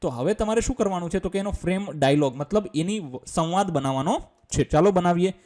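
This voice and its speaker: A male teacher with a Gujarati accent speaks in a slightly high, softly mellow voice. He reads out English lines and then explains them simply. His delivery is colloquial and step by step, with questions he answers himself. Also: lively intonation, brisk.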